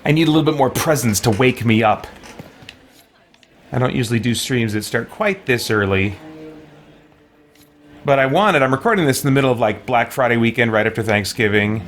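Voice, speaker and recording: A man's voice: medium pitch, brisk, pauses often, slight background noise. A man giving casual gameplay commentary on a livestream, chatty and rambling as he thinks aloud. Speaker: livestreamer playing a video game. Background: game music.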